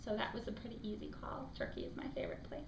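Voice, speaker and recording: A woman's voice: medium pitch, huge pitch swings, racing. A woman from Afghanistan, raised in the United States, with an American accent, who works as a school admissions director and answers interview questions in an earnest, reflective way with frequent ums. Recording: echoey room with background noise.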